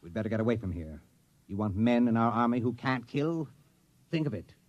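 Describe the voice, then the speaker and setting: A man's voice: medium pitch, fairly quick, darkish tone, no room sound, lo-fi sound, natural voice. A man playing a condescending, authoritative military commander with a dry manner in a 1950s radio drama. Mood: grave, decisive.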